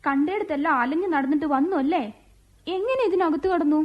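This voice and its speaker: A woman's voice: high-pitched, fairly quick, lo-fi sound, natural voice. Woman playing a sulky, snappy young wife. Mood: annoyed. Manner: snappy, sulking, whimpering. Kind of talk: radio play acting.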